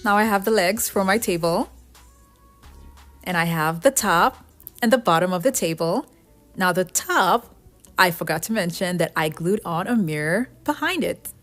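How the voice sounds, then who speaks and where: A woman's voice: on the low side, expressive, moderate pace, a bit bright. A woman giving an explanatory, step-by-step voice-over for a craft tutorial. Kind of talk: scripted DIY tutorial voice-over narration. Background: background music.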